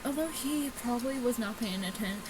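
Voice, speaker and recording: A woman hosting a casual let's play, reading game text aloud in a halting way with small stumbles. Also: a little high. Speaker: gaming video host. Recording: clearly noisy home recording.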